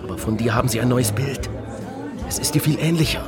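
A man's voice: mid-range voice, fast talker, over a noisy crowd, lightly acted. A man playing a nervous, alert robber on the run in a radio crime drama, urgent and insistent. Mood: urgent, alarmed. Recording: loud music bed.

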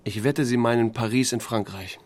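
A man's voice: medium pitch, fairly even intonation, moderate pace, a little mellow, lightly acted. A man playing a laconic small-town sheriff who tells his own story in an audio drama, with a dry, understated manner. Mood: dry, probing. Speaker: narrator and lead actor. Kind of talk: first-person audio drama narration with dialogue.

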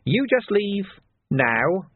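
A man's voice: high voice, sing-song, very slow, husky, no room sound, voice pushed up, heavily acted. A man voicing a menacing, gleeful male criminal turned sergeant, mocking and wheedling in an audiobook. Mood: menacingly friendly. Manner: mocking, wheedling, laughs often. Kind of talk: audiobook character voice. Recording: thin phone-like band, dry.

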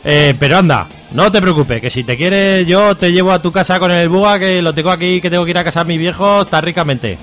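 A man's voice: high-pitched, fairly quick, fairly bright tone, faint music behind. A man acting a cocky, crude, slangy young guy in a radio serial, with an accent from Spain. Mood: cheeky, unbothered. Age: young adult. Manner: cheeky, slangy.

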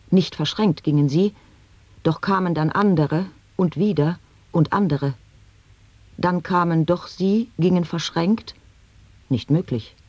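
Slow, deliberate woman reciting wordplay. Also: fairly low pitch, darkish tone, no echo. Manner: slow, deliberate word-by-word delivery. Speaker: reader in a radio play. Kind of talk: recitation of experimental sound poetry.

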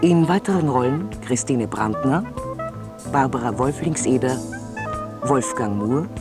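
A credits announcer reading a scripted cast list in a measured, formal way. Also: medium pitch, lively intonation. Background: music.

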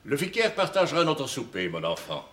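A man in his sixties playing a stern, erudite village pastor in a period TV drama, measured, pompous and sardonic. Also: mid-range voice, huge pitch swings, fairly bright tone. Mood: courteous. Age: 60s. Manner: pompous, measured, sententious, slipping into French and Latin. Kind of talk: television period drama acting.

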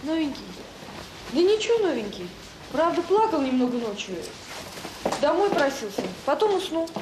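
A woman's voice: sits high, sing-song, normal speed. An actress playing a matter-of-fact woman night attendant, reporting casually in naturalistic audio drama dialogue. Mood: casual, unworried. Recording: echoey room with clear background noise.

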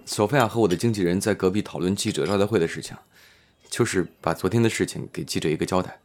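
A man's voice: sits low, fast, lightly acted. A man playing a modest, considerate male minor actor in an audio drama, speaking politely and mildly, a little hesitant. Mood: gentle.

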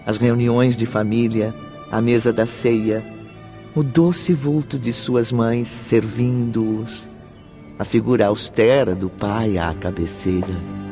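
A man's voice: mid-range voice, fairly even intonation, takes it slowly. Solemn male narrator over music. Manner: solemn, literary. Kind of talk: scripted dramatic narration.

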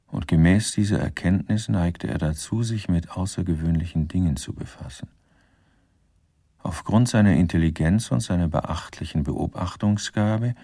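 A dry, ironic storyteller for a radio crime drama. His voice is deep, dark and gravelly, with formal, measured delivery. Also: dry recording, exaggerated voice. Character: dry, ironic narrator of a murderer's life. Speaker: narrator.

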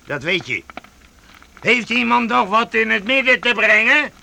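Vagabond chieftain: high-pitched, gravelly, boisterous and pompous, theatrical radio drama delivery. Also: male voice, lively intonation, measured pace, background noise, full character voice. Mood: scornful. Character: boisterous mocking one-eyed vagabond chief, self-styled duke. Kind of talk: Dutch radio play acting.

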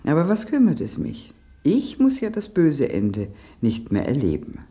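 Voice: mid-range voice, huge pitch swings, unhurried, warm tone. An actor in a German radio play voicing an elegant, resigned cream soup with a stately, formal, world-weary manner. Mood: resigned, dignified.

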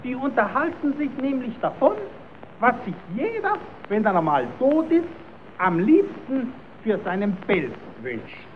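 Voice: mid-range voice, big pitch swings, a little slow. A performer playing a cheeky puppet clown who stammers as he spins tall tales for children in a German radio play. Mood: eager. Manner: stammering, repeating himself, improvising tall tales. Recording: tinny, narrowband, hissy old radio recording.

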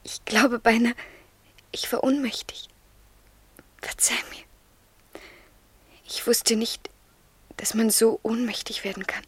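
An actress playing a devoted, submissive young woman in love, speaking in a fervent, breathy hush in a radio play. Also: fairly high pitch, steady tone, slow pace, pauses often, no echo, heavily acted. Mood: faint, tender. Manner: yielding, fervent, often whispering. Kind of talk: 1970s radio drama acting.

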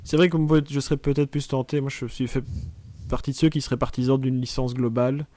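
Man with a Liège accent, dark voice. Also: medium pitch, fairly even intonation, a little fast, no room sound. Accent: Liège, Belgian French. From Belgium. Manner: searches for words, restarts often. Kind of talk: casual panel chat.

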